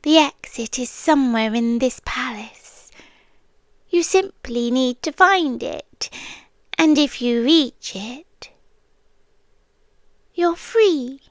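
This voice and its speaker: A woman acts out a British siren queen, an obsessively loving female monster in an ASMR roleplay. Her voice is high and extremely unhurried, soft and teasing, slipping into whispers. She sounds sweetly menacing and possessive, recorded close and dry. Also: dry recording. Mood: coy, sinister.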